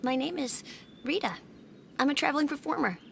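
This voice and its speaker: A woman playing a feisty, friendly young female traveling performer in a video game dub, expressive and sharp-tongued. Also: sits high, fairly quick, creaky, slight background noise. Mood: curious.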